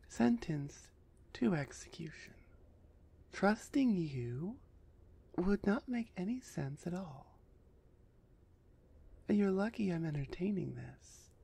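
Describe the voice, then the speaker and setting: A towering female minotaur executioner, acted by a woman for an ASMR audio drama. Her voice is husky and soft-edged, full of fry, and she talks at an unhurried pace. She is haughty and dismissive, with a stern, taunting edge. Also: on the low side, expressive, heavily acted. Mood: suspicious, stern.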